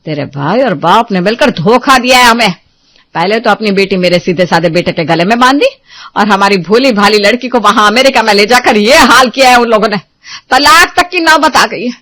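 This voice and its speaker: A woman's voice: mid-range voice, moderate pace, pushed and bright, close to an everyday voice. A woman playing an accusing, domineering middle-aged mother-in-law in a melodramatic Hindi family drama, scolding with bitter sarcasm. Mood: furious, vengeful.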